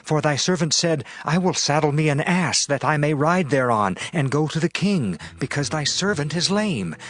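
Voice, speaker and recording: A man's voice: on the high side, lively intonation, normal speed, close to an everyday voice. An actor playing a humble, loyal lame prince before his king in a dramatized Bible audiobook, deferential and archaic in phrasing. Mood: pleading.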